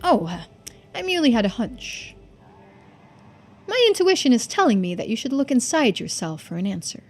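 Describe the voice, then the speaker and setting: A woman's voice: fairly high pitch, sing-song, moderate pace. A woman voicing a polite, composed young video game character who speaks in a formal, precise way. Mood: intuitive. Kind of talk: video game dialogue read-aloud.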